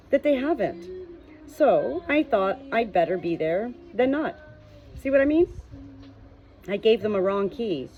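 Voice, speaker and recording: A woman's voice: medium pitch, muffled recording. A woman voicing a nervous, eager-to-please male pub landlord in an audiobook, chattering in colloquial speech. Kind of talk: audiobook character dialogue.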